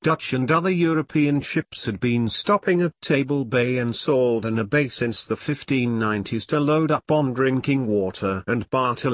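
A man reads a prepared passage aloud as an exam sample. His pitch is average and his delivery is measured and clear, captured in a dry, close space with no echo. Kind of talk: scripted read-aloud of practice texts.

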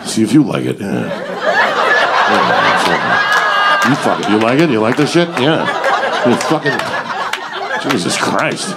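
A man's voice: fairly low pitch, very animated, slow pace, pauses often. A middle-aged man from New York with a New York accent, doing brash, profane crowd work as a stand-up comedian on a club stage with a handheld mic. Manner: brash, profane, mocking banter. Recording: roaring crowd, loud room noise.

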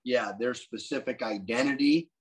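A man's voice: medium pitch, moderate pace, over a noisy crowd. An older man on a video call from a room at home, talking through ideas in a thoughtful, musing way with many restarts. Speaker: co-host discussing Bible prophecy. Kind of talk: casual video call discussion. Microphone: video call webcam.

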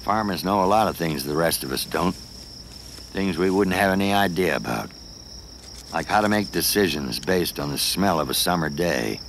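A man reading scripted documentary narration in a measured, lyrical storytelling way. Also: sits low, a bit bright, slight background noise.

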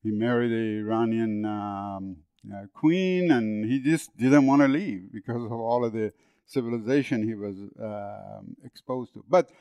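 A man's voice: on the low side, unhurried, dark tone, clean tone, dry recording, lo-fi sound. A man in his seventies from northern Iran with a Persian accent, telling stories as a guest on an interview podcast. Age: 70s. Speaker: guest, agricultural engineer.

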